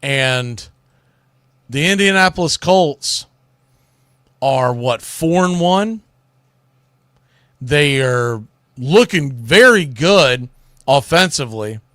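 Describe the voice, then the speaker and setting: A man's voice: mid-range voice, expressive, takes it slowly, long pauses, fairly bright tone, dry recording, clean studio sound. A man hosting a sports talk show, giving casual, opinionated commentary in colloquial language, speaking straight to his listeners.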